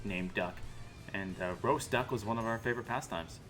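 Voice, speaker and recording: A man's voice: lowish voice, normal speed, fairly bright tone, soft background music. A young man casting a card game stream in joking, teasing commentary with mock-dramatic asides. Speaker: caster.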